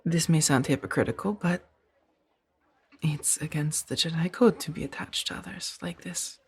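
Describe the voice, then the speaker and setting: A woman playing a gentle, earnest Jedi in a soft-spoken ASMR roleplay, speaking in a hesitant, breathy murmur. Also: low-pitched, moderate pace, exaggerated voice. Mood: tender, conflicted. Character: gentle, earnest young female Jedi, tenderly conflicted.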